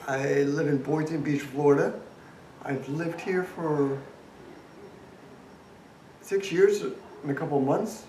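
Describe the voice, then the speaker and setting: A man in his late thirties introducing himself in a halting way, pausing between phrases. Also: on the high side, steady tone, a little mellow, slight background noise. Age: 30s. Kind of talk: self-introduction to camera. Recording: echoey room.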